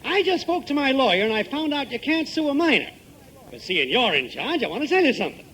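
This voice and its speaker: A man's voice: very high-pitched, sing-song, quick pace, bright, forceful tone, exaggerated voice, low-quality recording. A man playing a stern, indignant, threatening neighbor in a 1950s radio comedy. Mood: irate. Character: irate, threatening male neighbor demanding repairs. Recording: vintage radio recording with faint noise.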